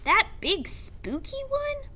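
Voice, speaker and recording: A woman reader puts on a timid young storybook girl who saddens easily. The voice is high and bright, politely hesitant, with slow phrasing. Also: very animated, full character voice. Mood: skeptical.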